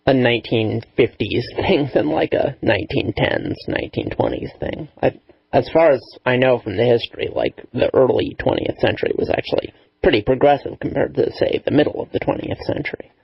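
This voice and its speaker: A man's voice: medium pitch, lively intonation, brisk, gravelly, breathy edge, dry recording, lo-fi sound. A man making points in a casual discussion.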